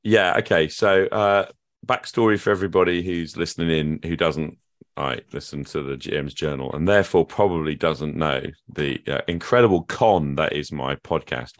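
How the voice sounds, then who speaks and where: Very deep-voiced British man, dry sound. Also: no echo. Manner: rambling, self-deprecating, many fillers. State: getting over a cold. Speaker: podcast host. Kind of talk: casual podcast chat.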